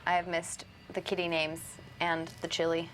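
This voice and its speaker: A woman's voice: on the low side, monotone, moderate pace, heavy background noise. A woman in her twenties co-hosting a game livestream, chatty and easygoing, laughing along and reading out viewer comments. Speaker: co-host reading chat. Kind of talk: casual let's-play chat.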